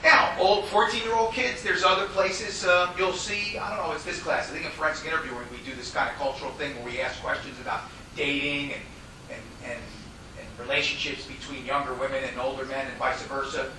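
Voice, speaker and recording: A man's voice: on the high side, fairly even intonation, fairly quick. An American man giving an informal classroom lecture, talking in an emphatic, conversational way. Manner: emphatic, conversational, acts out quoted dialogue. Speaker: law lecturer teaching a class. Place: classroom, recorded from a distance. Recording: echoey room, distant mic, faint noise.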